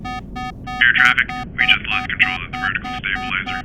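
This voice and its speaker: A man's voice: wildly swooping pitch, brisk, full character voice. A man playing a panicked airline pilot calling out urgently over a radio in a horror audio drama. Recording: noisy, rumbling cockpit radio.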